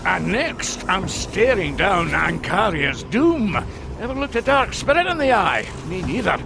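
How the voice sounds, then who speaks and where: Gravelly, high-voiced shifty dwarf. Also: male voice, big pitch swings, measured pace, background noise, exaggerated voice. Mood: sheepish, ominous. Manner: evasive, self-excusing, hesitant asides. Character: shifty, blustering male dwarf treasure hunter. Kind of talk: comic fantasy game acting.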